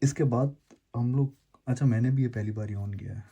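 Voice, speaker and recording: A man with a dark, soft-edged and husky voice hosts a gadget unboxing. He talks casually and informally at a medium pitch, dropping English words into his explanations. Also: a little fast. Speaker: YouTube host unboxing and reviewing gadgets.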